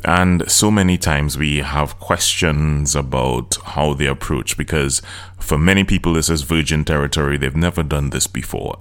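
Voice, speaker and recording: Deep-voiced Trinidadian podcast coach. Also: male voice, a bit bright, clean tone. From Trinidad and Tobago. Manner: earnest, coaching, direct to listener. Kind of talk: solo podcast talk.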